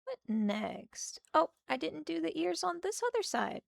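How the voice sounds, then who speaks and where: A woman with a slightly hoarse, gravelly voice chats casually with her stream as she draws. She often trails off into quiet muttering while she works. The sound is close and dry. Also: very high voice, very animated, a little slow, no echo. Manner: chatty, thinking aloud, muttering to herself as she works. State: slightly hoarse from a sore throat. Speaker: art streamer. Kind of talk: casual livestream chat while drawing.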